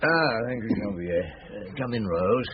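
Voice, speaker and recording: An actor with a British accent playing a patient, ruminative French police inspector in a radio drama. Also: male voice, lowish voice, animated. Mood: calm, courteous. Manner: measured, ruminative, hesitant with hums. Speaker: police detective chief inspector. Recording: dull, hissy radio drama recording.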